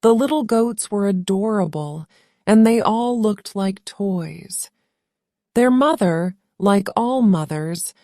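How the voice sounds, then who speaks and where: A woman narrating a children's story slowly and clearly for English learners. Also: medium pitch, mellow, no echo, clean studio sound.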